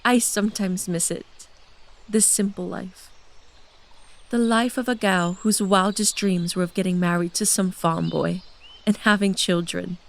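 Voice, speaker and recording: Actress as an ageless elegant queen, soft-spoken and gentle, fantasy audio drama. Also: lowish voice, steady tone, measured pace, gravelly. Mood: wistful.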